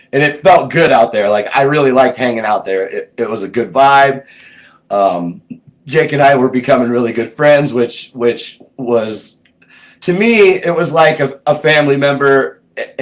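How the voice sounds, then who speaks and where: A man hosting a show, casually recounting his own past in a laid-back, meandering way, thinking aloud as he goes. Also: medium pitch. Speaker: host recounting his music career. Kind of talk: casual personal storytelling.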